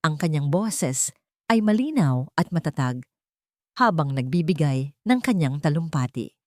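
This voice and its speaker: A woman with a Filipino accent reads a scripted dramatic story as an audiobook narrator, in an earnest, measured way. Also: low-pitched, expressive, dry recording, clean studio sound.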